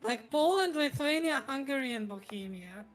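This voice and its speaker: A woman from Croatia talks casually as she streams a strategy game, with faint music behind her. Her voice sits a bit high, and she rambles in a halting way with dry humour. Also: animated. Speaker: gaming streamer. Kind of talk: casual chat while playing a strategy game.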